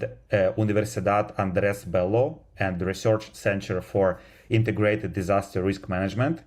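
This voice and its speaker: A man's voice: lowish voice, a little mellow. A man hosting a science podcast, who reads a scripted introduction and then asks questions with a few fillers. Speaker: podcast host.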